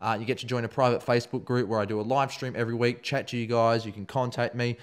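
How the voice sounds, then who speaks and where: A young man with an Australian accent hosting a casual podcast interview, loose and jokey and quick to swear. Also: mid-range voice, level tone, clean tone. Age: young adult. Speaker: podcast host.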